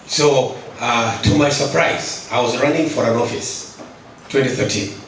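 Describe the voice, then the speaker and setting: A man's voice: mid-range voice, measured pace, a bit bright, a little hiss or hum. A man from Kenya speaking from the floor at a conference, earnest, often restarting and repeating words as he presses his point. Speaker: audience member at a conference. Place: large conference hall. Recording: echoey hall, mic from a distance.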